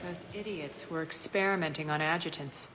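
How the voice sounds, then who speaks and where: A woman's voice: deep voice, normal speed, heavy background noise, natural voice. A woman voicing a hardened, sardonic crime boss leading a revolt in a video game, blunt and authoritative. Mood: irritated. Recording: loud music over the dialogue.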